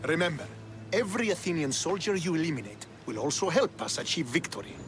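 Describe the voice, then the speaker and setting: Video game Spartan commander: stern, clipped, suspicious, fairly high male register, prominent score underneath. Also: very animated, fast, over music. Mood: commanding, grudging. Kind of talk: dramatic video game acting.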